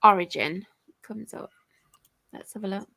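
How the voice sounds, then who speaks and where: A woman's voice: medium pitch, measured pace, creaky, sharp tone, slight background noise. A woman in her forties from the UK chatting casually on a live stream, laughing often and swearing now and then. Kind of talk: casual chat.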